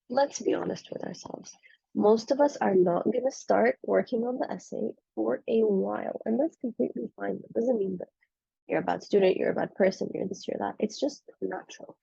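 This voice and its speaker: A woman's voice: mid-range voice, creaky, dark tone, slightly rough, no echo, lo-fi sound. A woman in her twenties, a medical student recovering from the flu, giving a casual, frank and self-deprecating spoken presentation on a subject she studied. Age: 20s.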